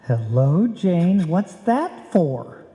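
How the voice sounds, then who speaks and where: Long-suffering comedy husband, played by a woman: dry, measured, low-ish mellow voice in a reverberant hall. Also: big pitch swings, full character voice. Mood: mock offended. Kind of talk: old-time radio comedy acting.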